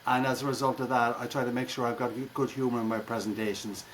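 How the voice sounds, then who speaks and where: Higher-voiced Irish man, noisy background. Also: steady tone, quick pace. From Ireland. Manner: wry, jokey, colloquial turns of phrase. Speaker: guest, keynote speaker. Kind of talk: conversational interview.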